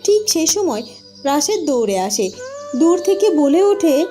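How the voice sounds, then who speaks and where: A woman's voice: high voice, big pitch swings, a little slow, warm tone, soft background music. A woman giving scripted storybook narration for a cartoon folk tale.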